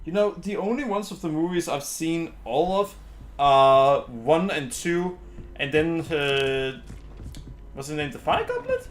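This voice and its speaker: A man giving casual game commentary as a streamer, thinking aloud in a halting way. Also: a little high, sing-song. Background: game music.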